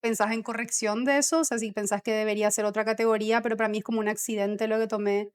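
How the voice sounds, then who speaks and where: A woman's voice: medium pitch, level tone, fast talker, clear, resonant tone. A woman with a Rioplatense Spanish accent, hosting a podcast and explaining astrology in a conversational interview style.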